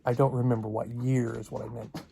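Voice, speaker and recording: A man talking casually and unscripted for a vlog, in a halting, hesitant way with long pauses. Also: medium pitch, little pitch movement, vocal fry, warm tone, slight background noise. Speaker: vlogger.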